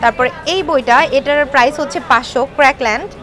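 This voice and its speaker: A woman's voice: high-pitched, fast talker, a bit bright. A woman working as a stall seller, presenting products in an informal interview and mixing English words into her speech. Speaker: bookstall seller. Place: busy book fair stall. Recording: busy crowd noise behind.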